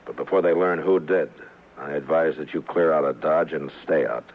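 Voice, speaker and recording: Stern American frontier marshal, extremely low voice, laconic, authoritative, old radio western, narrow tinny recording. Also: male voice, normal speed. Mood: cold, stern. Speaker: radio drama lead and narrator.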